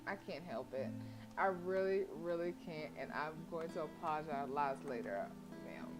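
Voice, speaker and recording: A woman's voice: deep voice, normal speed. A woman livestreaming a visual novel, reading it aloud with playful, slangy asides. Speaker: video game streamer. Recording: music playing behind the voice. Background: game music.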